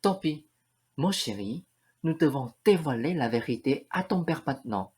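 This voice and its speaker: A woman's voice: low voice, expressive, normal speed, a little mellow, breathy edge. A woman playing an eccentric, pleading lady who insists she is a prince's love, in a student radio play. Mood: coy, insistent.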